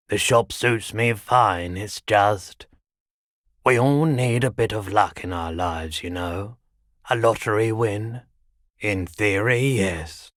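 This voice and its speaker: An actor voicing a haggard, indebted male shopkeeper in a video game, with a measured, understated, resigned manner. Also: lowish voice, fairly bright tone, no echo, clean studio sound. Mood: weary, resigned. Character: haggard, low-key pawnshop keeper, weary and indebted. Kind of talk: video game character dialogue.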